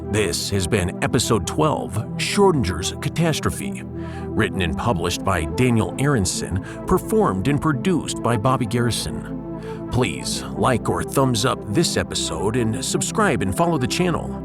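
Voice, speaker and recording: A man's voice: fairly low pitch, sing-song, measured pace, over loud music. A man reading a scripted announcement as the performer and producer of a podcast.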